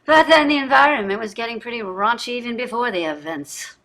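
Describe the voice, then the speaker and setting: An actress playing a privileged, jaded middle-aged wife in a staged play reading, speaking in a theatrical, effusive way. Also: female voice, fairly high pitch, brisk, fairly bright tone, poor audio. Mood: wistful. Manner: theatrical, effusive, drawling endearments. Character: wealthy, jaded, privileged wife on a dystopian luxury ship.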